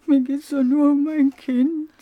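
A woman playing a bitter, fearful middle-aged mother in a radio drama, grave and pleading, with a husky voice. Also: high voice, little pitch movement, a little slow, highs cut off.

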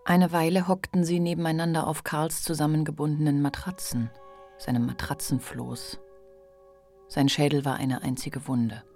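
A woman reading scripted literary narration for a radio play in a measured, sober manner. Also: deep voice, a little mellow. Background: faint music.

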